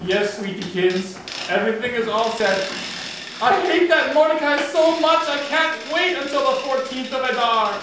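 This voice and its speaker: A man's voice: extremely high pitch, normal speed. A man voicing a vain, petulant villain puppet, a henpecked husband who rants and coos in an amateur show. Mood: syrupy, scheming. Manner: whiny ranting, syrupy endearments. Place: small home gathering, echoey room, far camera. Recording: echoey distant noisy home video.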